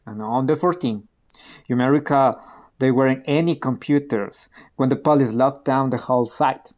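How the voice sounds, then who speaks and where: A man playing a candid private security insider in a radio play, explaining events in a measured, matter-of-fact way. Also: mid-range voice, darkish tone, lightly acted.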